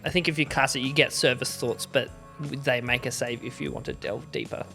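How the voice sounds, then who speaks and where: A man's voice: mid-range voice, steady tone, a little fast, creaky, sharp tone, soft background music. A man talking casually as a player in a tabletop game.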